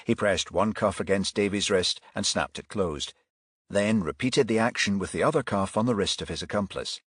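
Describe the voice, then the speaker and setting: A man's voice: lowish voice, moderate pace, a bit bright, husky, no echo, studio quality. A man narrating a crime thriller audiobook in a scripted storytelling style.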